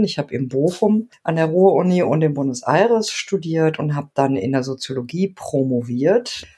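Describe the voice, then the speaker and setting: A woman's voice: low voice, a little mellow, breathy edge. A woman in her fifties from Argentina, a sociology professor answering interview questions thoughtfully with frequent fillers. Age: 50s. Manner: thoughtful, many fillers, laughs now and then. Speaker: sociology professor, podcast guest.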